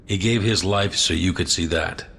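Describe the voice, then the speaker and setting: A man's voice: sits low, moderate pace, pushed and bright, husky, heavily acted. An actor playing a stern, commanding male intelligence chief in an audio drama, firm and insistent. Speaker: door chief.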